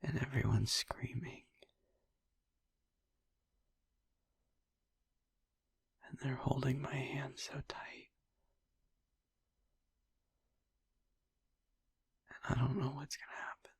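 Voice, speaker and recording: A man playing a traumatised young vampire boyfriend in an intimate audio roleplay, speaking in a breathy hush with halting pauses. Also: medium pitch, dry recording. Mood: haunted, fearful. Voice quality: breathy, whispery. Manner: halting, hushed, pausing mid-sentence.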